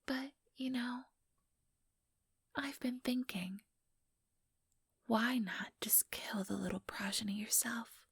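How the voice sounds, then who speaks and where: A woman playing an ambitious vampire elder in a whispered ASMR roleplay, breathy, deliberate and patronizing, with cool menace. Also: a little high, no room sound, studio quality, full character voice. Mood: taunting, probing.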